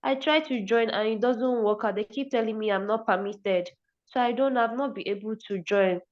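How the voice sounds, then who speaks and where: A woman's voice: a little high, moderate pace. A woman student on a video call, politely and a bit hesitantly explaining that she could not join the class. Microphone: computer or phone mic on a video call. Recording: muffled, dull video-call audio.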